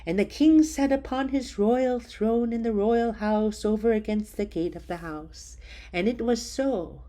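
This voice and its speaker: A woman reading scripture aloud in a slow, measured, solemn way. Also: on the high side, mellow. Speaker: scripture reader.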